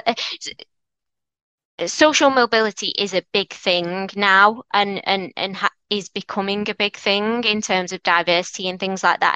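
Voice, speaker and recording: A woman's voice: mid-range voice, pushed and bright, no room sound. A woman with a strong Mancunian accent, answering interview questions in an earnest, encouraging way with frequent restarts. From North Manchester, England. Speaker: lawyer, interview guest.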